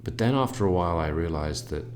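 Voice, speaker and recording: A man's voice: low-pitched, animated, fast, slight background noise. A man, a cellist in a string quartet, talking thoughtfully in an interview with many fillers and restarts. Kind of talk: reflective conversational interview.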